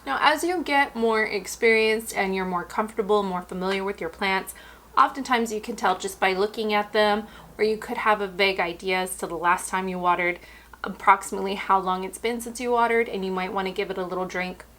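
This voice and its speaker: A woman hosts a houseplant care lesson, talking straight to her viewers in a chatty, unhurried way. Her voice is wearing out and is starting to go. She sits right in the middle of the usual female pitch range. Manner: chatty, relaxed, and explanatory, addressing viewers directly. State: losing her voice. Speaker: houseplant care channel host. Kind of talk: casual instructional talk.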